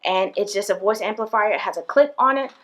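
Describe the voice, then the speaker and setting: A woman's voice: medium pitch, fairly quick. A young woman, a teacher, talks to the camera in a small indoor room. Her delivery is chatty and direct, full of fillers and asides to the viewer. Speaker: PE teacher and video host. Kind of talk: casual talking-head tips video.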